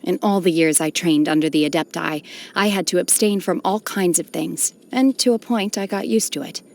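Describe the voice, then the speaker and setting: An actress playing a solemn, aloof young woman trained by immortals in a video game, speaking in a formal, measured, restrained way with a touch of melancholy. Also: lowish voice, vocal fry, darkish tone. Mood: pensive, melancholy. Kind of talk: scripted video game character voice lines.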